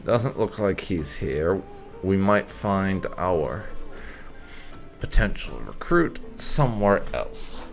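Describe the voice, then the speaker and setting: Low, slow, creaky man gaming. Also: very animated, faint music behind. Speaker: gaming channel host. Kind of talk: roleplay playthrough commentary.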